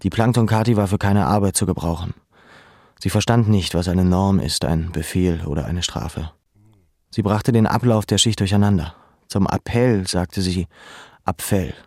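An actor playing a former camp inmate who narrates a literary memoir in a calm, measured, matter-of-fact way. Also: male voice, low voice, no echo, studio quality. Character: male former camp inmate, sober, reflective storyteller. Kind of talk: literary first-person narration.

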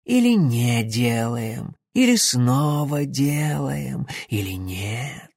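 A man voicing a haughty, menacing inhuman warrior creature in an audiobook, with a soft, lulling, singsong and condescending delivery. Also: mid-range voice, expressive, dry recording, studio quality, exaggerated voice. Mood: hypnotic, eerie. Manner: slow, hypnotic, condescending.

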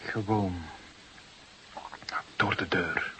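A man's voice: low-pitched, steady tone. Hissy recording, nervy young male suspect. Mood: subdued. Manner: nervy, halting, defensive. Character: desperate, defensive young male murder suspect, about twenty. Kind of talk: Dutch crime drama acting, interrogation. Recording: clearly hissy background noise.

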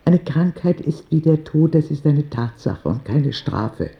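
Radio drama role: kindly elderly female confidante, played by a man, gravelly, soothing, plain-spoken. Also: fairly high pitch, lively intonation, a little slow, highs cut off. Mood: consoling. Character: kindly old woman, comforting confidante of a sick boy. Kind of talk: intimate radio drama dialogue.

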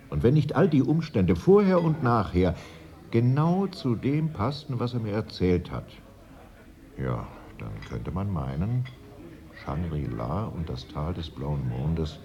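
An actor playing a male travel writer in a radio play, recounting a mystery to an old friend with deliberate, weighty pauses. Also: deep voice, muffled recording, exaggerated voice. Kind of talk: 1980s German radio play dialogue.

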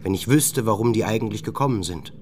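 A grumbling old boatman as a male narrator puts him on, low-voiced and wary. He belongs to a literary radio play, with clear boat and water noise behind him. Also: quick pace, darkish tone, natural voice. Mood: puzzled grumbling. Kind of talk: acted quotes within literary narration.

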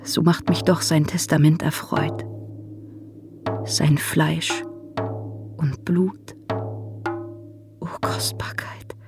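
An actress playing a tender, devout believer, reciting devotional verse in a German radio drama in a hushed, intimate, partly whispered voice. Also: female voice, low-pitched, steady tone, drawn-out words, vocal fry, long pauses, music in the background, full character voice. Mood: tearful devotion. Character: devout woman believer, tender and sorrowful, in reverie.

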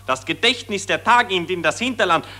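Brief: preachy patriotic optimist, bright and forceful tone, a touch high. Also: male voice, lively intonation, brisk, heavily acted. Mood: lofty. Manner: solemn, preachy, high-flown. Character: pompous patriotic male optimist, lecturing.

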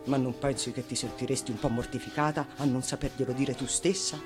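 A woman's voice: very deep voice, steady tone, fairly quick, over music. A woman voicing a stuffy grown-up policeman in a storybook, condescending, officious and lecturing. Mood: insistent. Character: stuffy, patronising adult policeman, insistent and exasperated.

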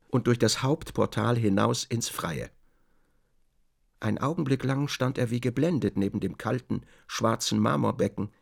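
A man's voice: medium pitch, vocal fry. A man reading scripted literary narration as the narrator of a radio drama.

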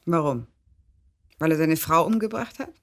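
A woman's voice: deep voice, animated, normal speed. An actress playing a sceptical, mocking wife who challenges her husband in a radio drama. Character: sceptical, needling wife of a police chief.

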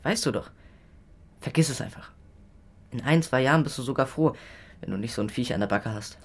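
A woman playing a girl's teasing, offhand older brother in a children's radio play, with a husky voice. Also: mid-range voice, expressive, a little fast. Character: teasing, casual older brother of a young girl.

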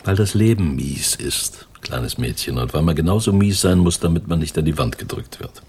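An actor playing a sardonic, world-weary middle-aged criminal mastermind and lover in a German radio crime drama. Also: male voice, extremely low pitch, animated, moderate pace, darkish tone, husky, exaggerated voice. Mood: cynical, soothing.

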